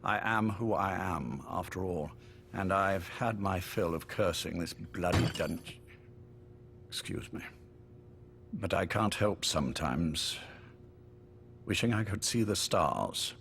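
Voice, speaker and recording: An actor playing a courteous but menacing older spymaster in a video game, urbane, measured and wryly polite. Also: male voice, sits low, gravelly, a bit bright, slight background noise, heavily acted. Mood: calm, weary. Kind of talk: dramatic game voice acting.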